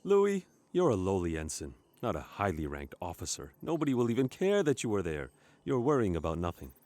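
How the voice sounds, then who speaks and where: A man's voice: deep voice, wildly swooping pitch, a little fast, darkish tone, dry recording. A man playing a brash, self-important spaceship captain in a comedic sci-fi play, theatrical, commanding and easily exasperated. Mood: brushing off.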